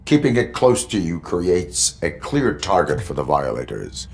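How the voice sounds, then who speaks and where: An actor playing a stern, by-the-book cyborg police officer in a video game, speaking in a formal, measured, deadpan way. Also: male voice, low-pitched, gravelly, full character voice. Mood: stern, instructive. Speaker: police field training officer.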